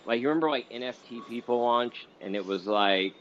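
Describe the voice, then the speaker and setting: A man's voice: medium pitch, a little fast, a bit bright, slight background noise. A man hosting a casual interview in an online audio room, asking curious questions in a rambling way. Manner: rambling, inquisitive, frequent "like". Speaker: interviewer, host. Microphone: phone or computer in an online audio room. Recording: dull lo-fi online voice chat.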